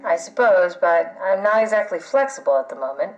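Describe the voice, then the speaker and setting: A woman's voice: lowish voice, lively intonation, fairly quick, natural voice, poor audio. Wry, pragmatic actress as a woman scientist, music behind. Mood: hesitant. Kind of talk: video game voice acting.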